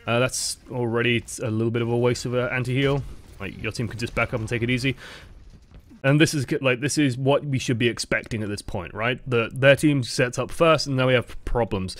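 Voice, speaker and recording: A man's voice: mid-range voice, steady tone, fairly quick, fairly bright tone. A man with a dry voice, giving casual, explanatory coaching commentary over gameplay footage. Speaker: game coach.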